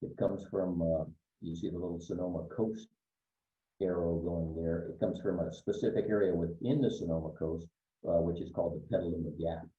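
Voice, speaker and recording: An older winemaker from Northern California talks through his wines, relaxed and full of fillers. His low voice sits far from the mic in an echoey room. The sound is thin and phone-like. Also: fairly quick. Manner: relaxed, explanatory, with many fillers. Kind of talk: explaining wines in a virtual tasting.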